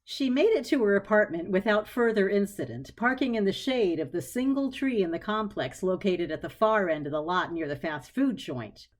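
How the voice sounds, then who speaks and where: A woman's voice: mid-range voice, lively intonation, normal speed, hardly any pauses. A woman reading scripted story narration in a wry, conversational storytelling manner.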